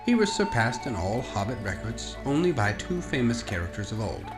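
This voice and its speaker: A man narrating a storybook in a measured, expressive, scripted audiobook style. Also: mid-range voice. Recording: roomy echo under orchestral music. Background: orchestral music.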